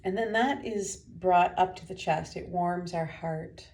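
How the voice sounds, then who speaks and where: A woman leading a guided meditation as a teacher, giving gentle, unhurried instructions with pauses. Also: on the low side.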